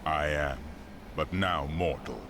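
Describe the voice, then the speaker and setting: Noble fallen angel, game drama, deep voice, measured, grave, wary, noisy ambience. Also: male voice. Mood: solemn. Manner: stately, measured, solemn. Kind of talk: dramatic fantasy game acting.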